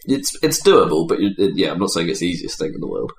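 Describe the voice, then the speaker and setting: A man's voice: low-pitched, very animated, quick pace, vocal fry. A man hosting a casual gaming podcast, rambling and opinionated in his talk. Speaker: podcast host. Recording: echoey room with faint background noise.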